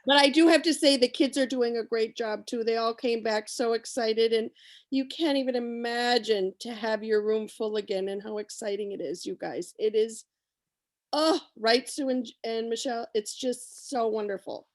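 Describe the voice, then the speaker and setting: A woman's voice: fairly high pitch, fairly even intonation, moderate pace. A woman teacher chatting at a parents' meeting, enthusiastic and joking. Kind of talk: casual chat.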